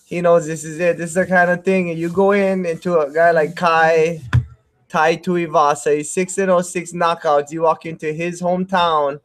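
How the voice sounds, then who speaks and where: A man's voice: a little high, little pitch movement, moderate pace. A man in his thirties giving casual, rambling live fight commentary, often repeating his phrases. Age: 30s. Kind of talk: casual live play-by-play chat.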